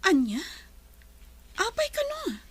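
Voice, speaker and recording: A gentle young lady of the house in a radio drama, played by a woman. She speaks softly and slowly in a high, lilting voice. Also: wildly swooping pitch, a little hiss or hum, full character voice.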